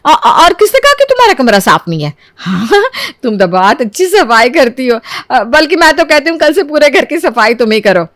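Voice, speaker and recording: A woman's voice: sits high, very animated, moderate pace, a bit bright, dry recording, studio quality, voice pushed up. A woman voicing an anxious, coaxing sister-in-law in a cartoon moral story, with a pleading, exclaiming manner.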